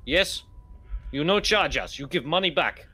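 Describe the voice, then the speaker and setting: Pushy clipped halfling, played by a man, broken speech, bright tone. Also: on the high side, expressive, measured pace, husky, full character voice. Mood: demanding.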